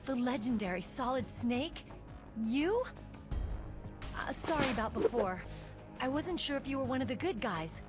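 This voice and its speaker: An actress playing a young American rookie soldier in a video game, feisty and wary. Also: female voice, high-pitched, expressive, measured pace, long pauses. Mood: curious, awed. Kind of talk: video game cutscene acting. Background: game music.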